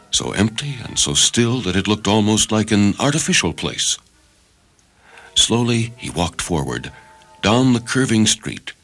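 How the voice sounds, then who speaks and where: Male story reader, low voice, expressive range, dramatic narration with music beneath. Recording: music under the voice. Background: background music.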